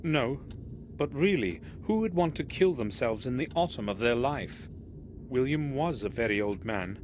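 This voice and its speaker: Guy acting a suspicious earnest video game protagonist, pitch moves around a lot, dull noisy sound. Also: lively intonation, moderate pace, natural voice. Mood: insistent, suspicious. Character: earnest suspicious man returning to family castle. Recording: muffled, very noisy game audio.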